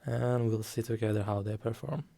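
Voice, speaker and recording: A man streaming a card game, talking calmly and softly, with frequent ums. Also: fairly low pitch, creaky. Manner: slow, calm, soft-spoken with frequent ums. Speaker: guest streamer. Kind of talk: casual game stream commentary.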